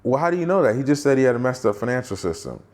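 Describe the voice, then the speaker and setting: A man's voice: mid-range voice, big pitch swings, racing, darkish tone, pitched above the performer's own voice, close to an everyday voice. A man voicing a trusting, eager female client in a retold anecdote about his work. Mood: doubtful.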